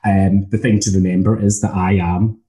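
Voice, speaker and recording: Scottish man, dark, thoughtful tone. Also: sits low, flat delivery. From Scotland. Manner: measured, thoughtful, frequent ums. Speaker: academic lecturer. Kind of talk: casual academic conversation.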